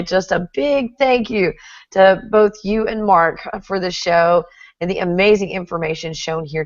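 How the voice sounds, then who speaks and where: A woman's voice: medium pitch, dry recording, lo-fi sound. A middle-aged woman from Texas with a Texan accent, hosting a casual interview, chatty and often laughing. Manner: chatty, laughs often, reads viewer comments aloud. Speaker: host.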